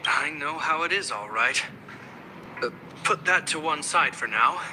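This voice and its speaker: A formal, composed young officer. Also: male voice, fairly high pitch, expressive, pushed and bright, a little hiss or hum, heavily acted. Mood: gently understanding. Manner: measured, formal, polite. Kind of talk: video game voice acting. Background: background music.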